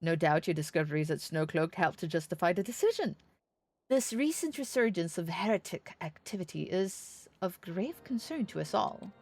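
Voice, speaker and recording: A woman's voice: on the low side, lively intonation, normal speed, a little mellow, dry recording. A woman voicing a gracious, welcoming knight lord from a fantasy game, speaking in a courtly, florid way. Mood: gracious, courteous.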